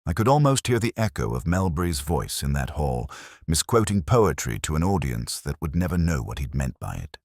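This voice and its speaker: A man reading a literary detective story in the first person, with measured, reflective, melancholy phrasing. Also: extremely low pitch, very animated, a little mellow, no echo, clean studio sound. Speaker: narrator of a detective mystery story.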